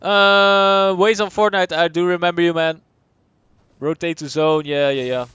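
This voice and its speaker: A young man with a Dutch accent streams a game and chats with his viewers. His voice sits a little high and sounds smooth and clear. The close, dry mic keeps his casual, think-aloud commentary right up front. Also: fairly even intonation, a little slow, dry recording, highs cut off. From Netherlands. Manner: casual, chatty, answers chat aloud, thinks out loud. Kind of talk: live gameplay commentary, reading chat.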